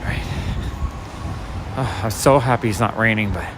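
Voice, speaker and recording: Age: adult. Sex: man. Quality: raspy. Style: soft-spoken walking vlog. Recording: street traffic noise. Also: lowish voice, normal speed. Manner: casual, chatty, talks to viewers while walking. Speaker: walking vlogger and livestreamer. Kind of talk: soft-spoken rambling walk-and-talk commentary. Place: city street outdoors at night. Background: city street ambience and vehicles.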